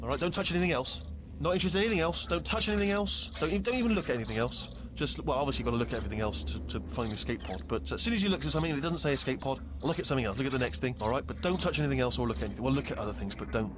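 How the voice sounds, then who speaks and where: An English actor playing a bumbling, chatty robot sidekick in a video game, rambling, stammering and correcting himself in a flustered way. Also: male voice, mid-range voice, animated, very fast, background noise, close to an everyday voice. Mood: fussy, rambling. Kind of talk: animated comic video game acting.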